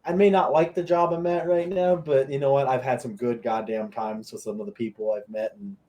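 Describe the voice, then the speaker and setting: Young man from Colorado, mid-pitched, laid-back and casual, podcast guest. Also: fairly even intonation, a little fast, warm tone. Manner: laid-back, swears casually, chuckles at stories. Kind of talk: casual chat.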